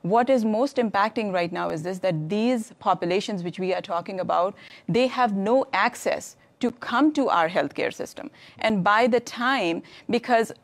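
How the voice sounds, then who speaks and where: A woman's voice: mid-range voice, normal speed. A woman in her thirties from Pakistan, a hospital physician on a studio panel, speaking earnestly and often restarting her phrases. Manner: earnest, searching for words, repeats phrases. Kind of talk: panel discussion. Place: studio stage with curtain backdrop.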